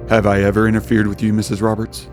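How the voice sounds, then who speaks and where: A man's voice: fairly low pitch, monotone, fast talker, a little mellow, soft background music, close to an everyday voice. A man playing a devoted, commanding billionaire husband in an audio drama, speaking with intimate assurance. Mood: tender, assured.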